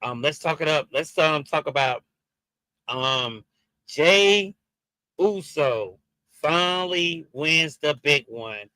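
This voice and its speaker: A man with a Jamaican and Haitian accent chats to his viewers in an unhurried, halting way, full of ums and restarts. His voice is very bright and forward. The recording is dry, with no room sound. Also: fairly high pitch, animated, no room sound, poor audio. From Jamaican and Haitian background. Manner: hesitant, many fillers and restarts, talks to viewers. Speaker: wrestling review show host. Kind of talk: casual streamed commentary.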